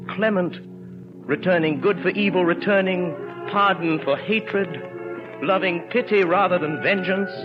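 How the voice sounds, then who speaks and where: 1930s radio guy narrating, theatrical and grave, muffled recording, music playing under him. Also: fairly high pitch. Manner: grave, theatrical, measured phrasing.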